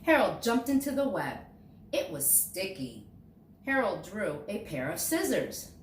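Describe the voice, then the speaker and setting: A woman reads a picture book aloud to young children in an expressive way, dramatizing key words. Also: mid-range voice, unhurried. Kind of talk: storybook read-aloud. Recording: roomy echo, distant mic, faint noise.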